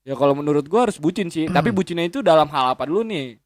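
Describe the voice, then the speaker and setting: A man's voice: a little high, lively intonation, racing, no room sound. A man, chatting as a guest in a rambling, slangy way with lots of swearing and restarts. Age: 20s. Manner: rambling, frequent swearing and self-correcting hesitations. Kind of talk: casual chat.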